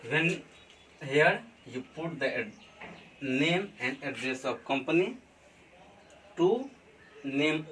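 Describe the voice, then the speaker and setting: A man with an Indian accent teaching a class. He talks slowly and deliberately and keeps checking in with his students. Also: a little high, a bit bright, slight background noise. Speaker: college teacher. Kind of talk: classroom lesson on letter writing. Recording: distant mic with faint room ambience.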